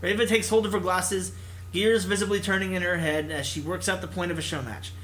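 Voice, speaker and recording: A man's voice: high voice, fairly even intonation, brisk, fairly bright tone, a little hiss or hum. A man hosting a gaming channel, reading a visual novel aloud and adding his own commentary.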